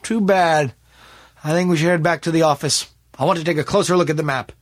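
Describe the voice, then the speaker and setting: A man voicing a polite, stern gentleman investigator in an audiobook, with a courteous, formal, probing way of talking. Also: fairly high pitch, a little fast, no room sound, studio quality, voice pushed up, lightly acted. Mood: musing.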